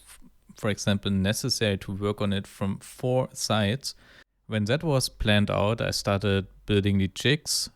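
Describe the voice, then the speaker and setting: A man narrating a hobby build in a casual, explanatory voice-over, talking in a halting, thinking-aloud way. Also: on the low side, smooth, dry recording, clean studio sound.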